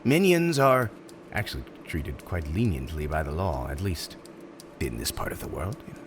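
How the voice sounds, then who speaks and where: A man's voice: a bass voice, very animated, normal speed, a little hiss or hum, voice pushed down. A man playing an urbane, smug supervillain in an ASMR roleplay, speaking in a courteous, theatrical and emphatic way. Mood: reassuring, explanatory. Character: urbane, smug male supervillain interviewing a prospective minion.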